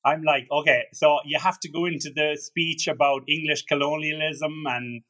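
A man's voice: a little high, fairly even intonation, normal speed, a bit bright. An Irish man hosting a podcast, talking earnestly in a casual chat with stammering restarts. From Ireland. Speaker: podcast host.

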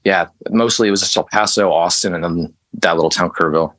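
A man's voice: sits low, fairly quick, fairly bright tone. A man from West Texas, a band musician, telling stories in a casual interview in a loose, laid-back way with plenty of fillers and some swearing. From West Texas, USA.

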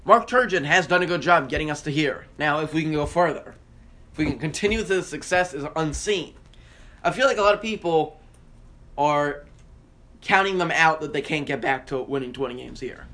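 A man's voice: a little high, normal speed, bright, forceful tone. An American man co-hosting a sports podcast, arguing his points emphatically and repeating phrases to drive them home in casual debate.

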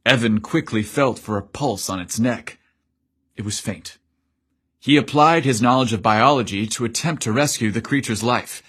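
A man reads a story aloud in a measured, descriptive way. His voice has a husky, breathy grain and sits in a middle register. The delivery is that of scripted narration. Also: medium pitch, fairly bright tone.

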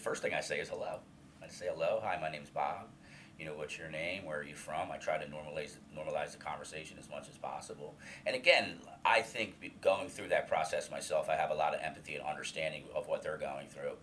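A man's voice: very deep voice, steady tone, quick pace, slight background noise. A man in his fifties, a guest in an interview, speaking openly and earnestly about his past with many restarts and fillers. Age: 50s. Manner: loud voice. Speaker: alumni coordinator, guest.

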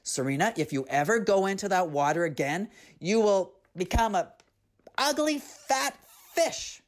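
A woman voicing a stern, angry mother scolding her careless daughter in a storybook tale, sharp and commanding. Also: mid-range voice, expressive, measured pace, pitched above the performer's own voice, lightly acted. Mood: furious, scolding. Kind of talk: storybook character voice.

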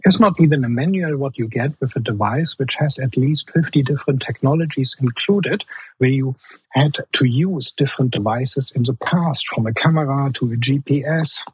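A man with a strong German accent talks earnestly as a podcast guest. His voice is very husky and breathy, at a middle pitch. The sound is dry and close. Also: normal speed, dry recording, poor audio. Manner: earnest, leans on "basically", restarts phrases. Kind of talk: podcast interview.

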